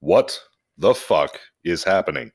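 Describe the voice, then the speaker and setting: A man with a very low voice hosts a casual podcast. He is jokey and freely profane, sounding like someone swapping stories with friends. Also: expressive, unhurried, vocal fry. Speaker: podcast host. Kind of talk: casual podcast chat and animated story reading.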